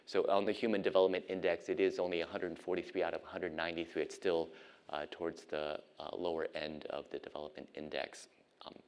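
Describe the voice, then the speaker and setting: Middle-aged man, deep voice, unhurried academic speaker from Ohio. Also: little pitch movement, smooth. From United States (Ohio). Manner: measured, frequent ums and uhs. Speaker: guest lecturer, physician and professor. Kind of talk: academic lecture.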